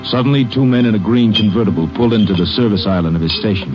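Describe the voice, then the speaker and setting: Authoritative radio crime narrator, a man, low and fast, music behind, muffled vintage broadcast. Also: close to an everyday voice. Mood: grave, suspenseful. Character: grave, authoritative male crime story narrator. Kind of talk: 1950s radio scripted narration.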